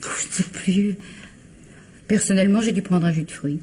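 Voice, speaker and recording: A woman's voice: medium pitch, normal speed, mellow, slight background noise, natural voice. A woman playing a guarded, formal, evasive sister of a victim in a radio mystery drama.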